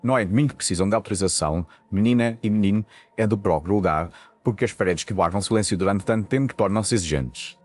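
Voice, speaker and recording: A man's voice: fairly low pitch, quick pace, few pauses, darkish tone, dry recording, close to an everyday voice. A man playing a weary, cryptic old lighthouse keeper in a ghost story, with European Portuguese speech and a solemn, foreboding manner. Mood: solemn warning. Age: older. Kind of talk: audio ghost story acting.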